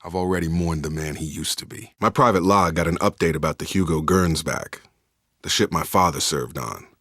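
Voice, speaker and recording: A man playing a young, steady, principled soldier in a sci-fi video game, speaking in direct, clipped military phrases. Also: sits low, normal speed, dry recording, studio quality. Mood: bitter, resolute.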